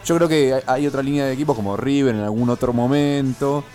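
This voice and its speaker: A man's voice: mid-range voice, fast, warm tone, soft background music. A man with a Rioplatense Argentine accent in a casual radio discussion as a panelist.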